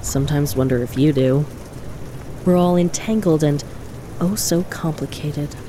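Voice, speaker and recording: A woman voices a young woman speaking her farewell letter to two men, tender and intimate. Her voice is low and mellow, with faint ambient noise behind it. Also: measured pace. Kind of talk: reading a letter in an audio drama.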